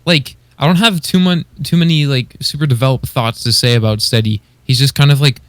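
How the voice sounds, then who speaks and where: A man's voice: mid-range voice, a little fast, no echo. A man co-hosting a podcast in casual chat, hesitantly searching for words as he explains his opinions. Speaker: podcast co-host.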